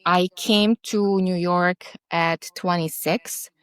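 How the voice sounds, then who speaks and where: Guest, woman, 40s, slow and halting, smooth lowish voice, dry close sound. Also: steady tone, no echo. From Serbia. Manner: halting, searching for words with restarts. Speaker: podcast guest. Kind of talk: interview conversation.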